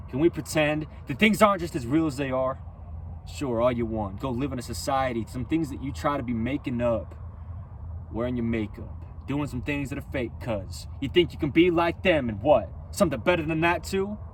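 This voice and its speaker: A man rapping a loose freestyle in rhyme, driving each line with preacher-like emphasis. His voice sits in a middle register and often pushes toward a near-yell. Faint traffic hums outdoors behind him. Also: medium pitch, moderate pace. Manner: rhythmic rhyming, preachy and emphatic; loud, near-yelling delivery. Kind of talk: spoken-word freestyle rap.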